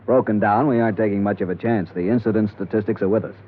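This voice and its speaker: An actor with an American accent playing a weary, grumbling insurance executive in an old radio drama. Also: male voice, on the low side, steady tone, fast talker, natural voice. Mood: worn, businesslike. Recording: tinny old radio recording.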